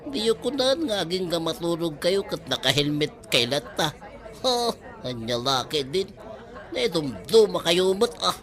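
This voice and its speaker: Fairly high-voiced, jovial teasing buddy played by a man. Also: very animated, a little slow, fairly bright tone. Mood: amused teasing. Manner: teasing, familiar. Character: jovial, teasing male buddy who ribs friends. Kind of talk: Ilocano comedy drama acting.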